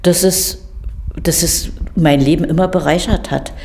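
A woman's voice: lowish voice, fairly even intonation, unhurried, creaky, darkish tone. A woman speaking thoughtfully and articulately in an interview.

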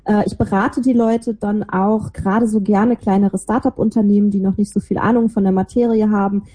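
A woman's voice: mid-range voice, fairly even intonation, a little fast, dark tone. A woman being interviewed, chatting casually and in a self-deprecating way about her own life and work. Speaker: interview guest, podcaster and small creative agency owner.

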